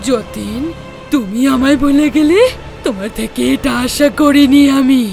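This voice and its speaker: A woman voicing the bitter, menacing ghost of a murdered young woman in an animated horror story, taunting and accusing loudly. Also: high voice, unhurried, gravelly. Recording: music behind the voice.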